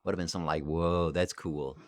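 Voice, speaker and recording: A man co-hosting a podcast, telling stories in a halting way, with many fillers. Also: low voice, steady tone, no echo, clean studio sound. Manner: hesitant, many uh fillers, storytelling. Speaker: podcast co-host. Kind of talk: casual podcast chat.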